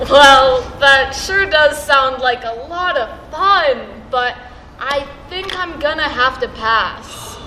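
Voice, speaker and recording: A high-voiced, modest schoolgirl acted by a woman in a school play. She speaks slowly and politely on an echoey stage. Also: animated, pushed and bright, exaggerated voice. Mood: mild, modest. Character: modest, well-liked high school homecoming queen. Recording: echoey stage with clear noise.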